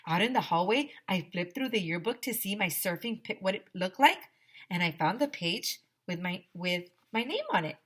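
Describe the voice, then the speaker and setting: A woman's voice: medium pitch, expressive, normal speed, a bit bright. A woman teacher reading a children's story expressively and chatting directly to young listeners. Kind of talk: expressive children's story read-aloud and chat.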